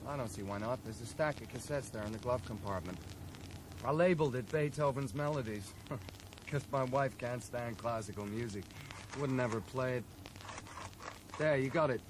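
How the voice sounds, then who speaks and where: A man's voice: medium pitch, normal speed, lightly acted. Actor as grieving man, noisy radio drama. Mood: resigned, wistful. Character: grieving, loyal married brother, candid and resigned.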